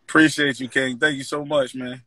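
A man's voice: medium pitch, level tone, moderate pace, bright, forceful tone, no echo. A young adult man, a guest rapper chatting casually on a livestream over a phone held at arm's length.

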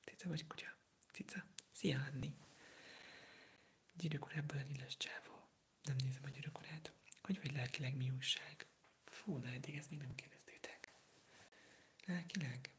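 An eighteen-year-old from Hungary whispering softly through an ASMR livestream, answering viewer comments while sick with a sore throat, rambling and hesitant. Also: medium pitch, fairly even intonation, pauses often, a little hiss or hum. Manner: soft unvoiced whisper, rambling, hesitant with fillers.

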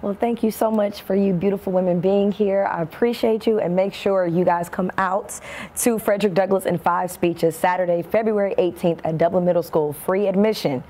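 A woman's voice: medium pitch, steady tone, moderate pace, dark tone. A middle-aged woman hosting a conversational local television interview. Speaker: interview host. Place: indoor sitting room set.

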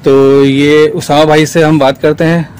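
A man's voice: a little high, little pitch movement, unhurried, darkish tone, smooth. A man from Pakistan, hosting a casual shop vlog and echoing his guest's words back with short agreements. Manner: brief agreeing interjections, repeats the guest's words. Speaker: vlogger host. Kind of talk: casual market vlog interview. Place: indoors, busy phone accessories shop.

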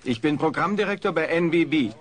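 An actor playing a pushy, ingratiating television executive in an audio drama. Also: male voice, a little high, a little fast, natural voice. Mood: eager. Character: eager fawning male TV programme executive. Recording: noisy cassette with audience behind.

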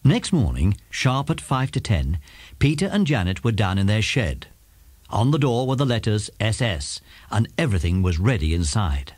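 A man's voice: fairly low pitch, sing-song, moderate pace, a little mellow, no echo. A man with an English accent narrating a scripted children's audiobook.

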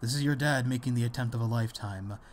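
A man playing a gentle, self-deprecating father in an ASMR roleplay, speaking softly and hesitantly to comfort his grown daughter. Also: medium pitch, quick pace, a little hiss or hum, natural voice. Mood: bashful. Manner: hesitant, soft, soothing, with small chuckles. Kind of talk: intimate ASMR audio roleplay.

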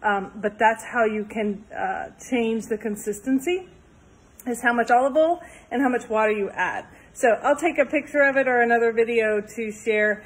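A woman's voice: fairly high pitch, normal speed, husky, rough recording. A woman in her thirties, talking casually and enthusiastically while showing a home cooking recipe in her kitchen, filmed on a phone. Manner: chatty and enthusiastic, upbeat asides. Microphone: phone held close, later propped farther off.

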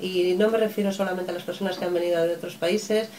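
A woman's voice: fairly low pitch, steady tone, fast talker, darkish tone, a little hiss or hum. A woman answering an interview in an earnest, thoughtful way.

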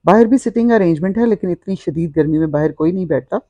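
A woman's voice: fairly low pitch, fast, mellow, dry recording, lo-fi sound. A middle-aged woman, narrating a walk-through vlog in a descriptive, explanatory way. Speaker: vlogger.

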